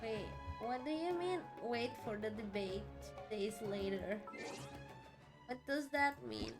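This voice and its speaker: A woman's voice: fairly high pitch, lively intonation, takes it slowly, over loud music. A young woman streaming a game in casual chat, drowsy and giggly, often pleading. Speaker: streamer playing a video game. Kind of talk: casual let's play chat.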